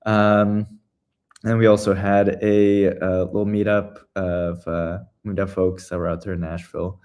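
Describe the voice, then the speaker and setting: A low-voiced man gives an informal recap as co-presenter of a community meeting. He speaks hesitantly and haltingly, piecing his phrases together loosely as he goes. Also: little pitch movement, a little mellow. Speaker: community town hall co-presenter.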